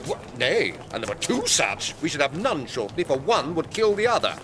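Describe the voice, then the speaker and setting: An actor playing a mocking, quick-witted, hot-headed young nobleman in a British accent, in a theatrical Shakespearean radio drama. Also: a little high, very animated, a crowd in the background. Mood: teasing, mocking. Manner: theatrical, teasing, emphatic.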